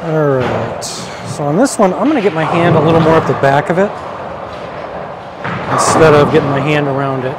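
A man's voice: on the high side, normal speed. A middle-aged man giving a casual product review as he demonstrates, talking inside a large bowling alley. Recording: noisy bowling alley ambience.

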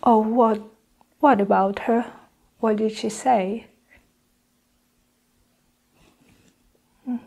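A young woman playing a guarded, defensive nurse under questioning in an improvised ASMR roleplay, measured and halting as she justifies herself. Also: a little high, steady tone, gravelly, mellow, full character voice. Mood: evasive. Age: young adult. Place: small indoor room with curtain backdrop. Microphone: clip-on lapel mic.

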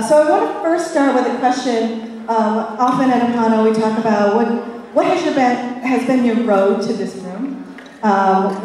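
A woman's voice: a little high, darkish tone, slight background noise. A woman introducing speakers and moderating a live panel, hesitant with many fillers, on stage in a large auditorium. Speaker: event moderator. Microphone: stand mic at podium, far camera. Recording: echoey hall from far off.

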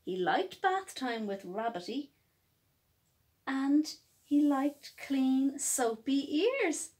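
A woman reading a picture book aloud as a storyteller, with expressive, emphatic phrasing for young children. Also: high-pitched, extremely slow.

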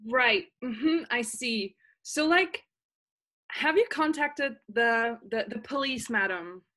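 A woman's voice: a little high, lively intonation, slow pace, pushed and bright, full character voice. A young woman playing a ditzy, casual, apologetic chief operator in a comic play, hesitant and full of filler words. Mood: vague, unhurried. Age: young adult. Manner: hesitant, filler-laden valley-girl phrasing. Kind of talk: comic stage-play acting over video call. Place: small plain room at home. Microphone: webcam video call.

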